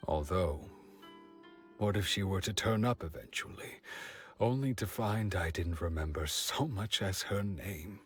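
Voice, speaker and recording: Slow, wistful villager. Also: male voice, low voice, faint music behind. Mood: resigned, pensive. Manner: slow, musing, sighing delivery. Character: wistful middle-aged village man, forgetful, patient, resigned. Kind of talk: video game voice acting.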